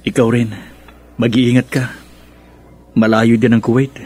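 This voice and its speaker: Earnest young soldier sweetheart. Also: male voice, mid-range voice, flat delivery, unhurried, dark tone, breathy edge. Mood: tender, worried. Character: devoted young male soldier, tender, worried sweetheart. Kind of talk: radio drama acting. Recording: music under the voice. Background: music.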